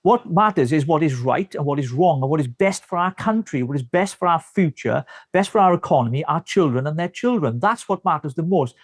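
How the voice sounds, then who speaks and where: A man's voice: a little high, expressive, brisk, hardly any pauses, no room sound, clean studio sound. A man with a Welsh accent, speaking emphatically as a party representative in a political interview. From Wales.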